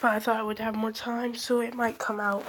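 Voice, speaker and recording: A woman's voice: fairly high pitch, little pitch movement, a little fast, a bit bright, a little hiss or hum. A young woman hosting her channel, rambling through a casual fan theory with lots of fillers and self-corrections while she is losing her voice from being sick. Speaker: channel host.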